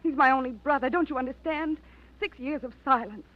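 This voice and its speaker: A woman's voice: sits high, fairly quick, natural voice. An actress playing a worried woman waiting years for news of her missing brother, in 1940s radio style. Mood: pleading. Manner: strained, pleading, emotional. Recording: dull old radio recording.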